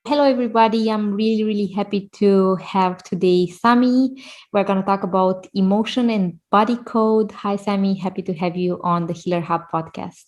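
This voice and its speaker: A woman hosting a podcast, reading a measured introduction of her guest and then asking him questions. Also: mid-range voice, fairly even intonation, a little mellow. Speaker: podcast host.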